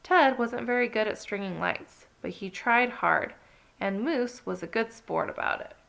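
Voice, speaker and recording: A woman narrating a children's read-along story with expressive, dramatic emphasis. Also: a little high, unhurried, creaky.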